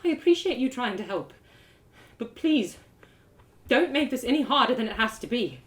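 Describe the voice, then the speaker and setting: A woman plays a guarded storybook warrior woman. She speaks with measured, formal restraint, sounding weary and skeptical, in a subdued register. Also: on the high side, very animated. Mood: weary, resigned. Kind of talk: storybook character voice in a live reading.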